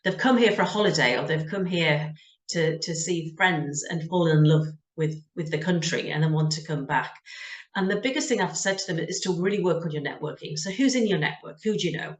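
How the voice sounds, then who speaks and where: A woman's voice: sits low, fairly quick, reverberant. A middle-aged woman from the UK with a British accent, telling her story in a frank, conversational way as an interview guest, with frequent ums. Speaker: guest, job search coach.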